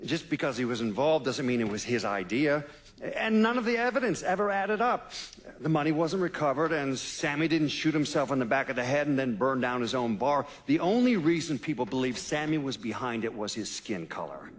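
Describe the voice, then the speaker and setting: An actor playing a frank former investigator giving a blunt, matter-of-fact interview in a video game. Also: male voice, mid-range voice, animated, a little fast, close to an everyday voice. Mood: disdainful, matter-of-fact. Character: frank former investigator recalling a botched case.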